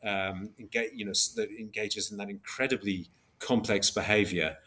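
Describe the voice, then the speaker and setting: A man with a fairly low voice speaks as an academic guest in an interview. He explains step by step in a measured, somewhat hesitant way, often reaching for an example. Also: steady tone, sharp tone. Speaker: podcast guest, philosopher of biology.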